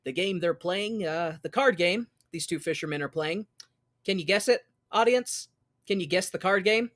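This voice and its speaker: A man's voice: sits high, moderate pace, fairly bright tone. A man hosting a casual podcast, chatting and recapping in a jokey, exclamatory way with some swearing. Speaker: podcast host. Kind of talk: casual chat with comedic show recap.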